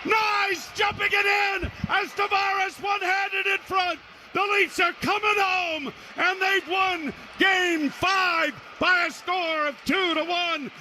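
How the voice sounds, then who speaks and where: A man calling hockey live on the radio as play-by-play announcer, in an excitable, clipped running style. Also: extremely high pitch, slow, shouting. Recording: noisy radio broadcast, arena noise.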